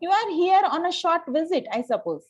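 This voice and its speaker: Haughty young society lady, played by a woman, high-pitched, formal and sure of herself, Victorian comedy table read. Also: very animated, brisk, highs cut off. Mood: haughty, pronouncing. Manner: formal, pronouncing, self-assured. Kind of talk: amateur play table read.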